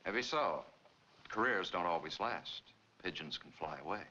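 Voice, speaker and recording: Sly, very deep-voiced cynic. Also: male voice, expressive, measured pace, bright, forceful tone, exaggerated voice. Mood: sardonic, warning. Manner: sardonic, insinuating. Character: cynical, sly young man-about-town, old boyfriend. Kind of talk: 1940s film noir acting. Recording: dull, noisy 1940s film soundtrack.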